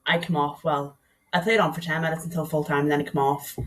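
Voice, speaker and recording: A woman's voice: low voice, steady tone, fast talker, a little hiss or hum. A young woman with a Donegal Irish accent, a podcast guest telling her story in a chatty way, often saying "like". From Ireland. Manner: chatty, peppers speech with "like".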